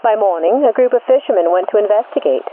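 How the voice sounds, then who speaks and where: A woman's voice: medium pitch, animated. Tinny old-radio woman narrating suspense. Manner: measured, deliberate, short suspenseful phrases. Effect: old-time radio filter. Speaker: host and narrator of a mystery show. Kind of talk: scripted suspense narration in the manner of 1940s radio. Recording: tinny band-limited vintage radio, static noise.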